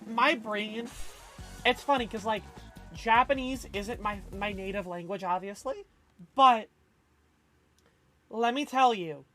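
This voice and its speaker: A woman's voice: fairly high pitch, slow, pauses often, fairly bright tone. A woman livestreamer chatting casually with her viewers, reading chat aloud in a loose, rambling, slangy way. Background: faint background music.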